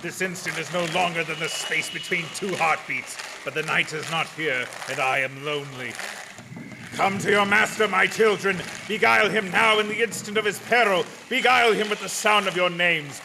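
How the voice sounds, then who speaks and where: Radio drama vampire lord: ancient, commanding, seductive, slow chant, slightly high for a man, noisy recording. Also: gravelly. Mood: pleading summons. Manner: slow, incantatory, intoning. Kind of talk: radio play horror acting.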